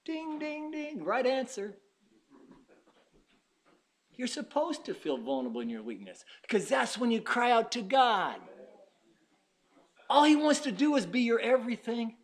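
High-voiced preacher in his fifties. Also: very animated, unhurried, long pauses. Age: 50s. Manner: emphatic, swings from loud urging to hushed, quiet asides; acts out the doubting listener's objections. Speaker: pastor. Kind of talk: sermon.

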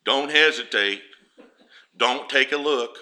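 Loud folksy preacher with a bright voice. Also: male voice, mid-range voice, slow pace. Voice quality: naturally loud voice. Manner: homespun, storytelling, rises loud for emphasis. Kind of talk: folksy sermon.

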